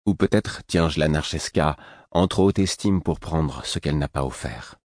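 A man's voice: rumbling low, moderate pace, darkish tone, dry recording, clean studio sound. A man voicing a proud young prince in an audiobook story, with a stilted, formal, curt manner. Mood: stiff restraint.

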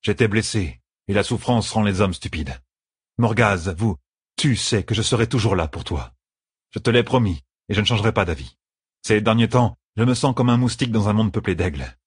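A man's voice: fairly low pitch, normal speed, breathy edge, no echo, clean studio sound. A man voicing a devoted, proud soldier, formal and earnest, in an audiobook. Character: earnest, devoted male soldier, passionate and wounded in pride.

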